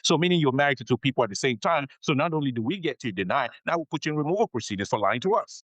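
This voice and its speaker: A man's voice: mid-range voice, expressive, racing, fairly bright tone, no room sound. A man being interviewed on a podcast as an immigration attorney, explaining things patiently, repeating his key advice and often checking in with "okay?".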